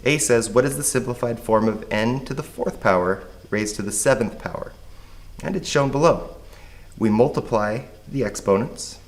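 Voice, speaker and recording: A man with a hoarse voice, patiently explaining a math lesson step by step. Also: mid-range voice, normal speed. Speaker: math teacher.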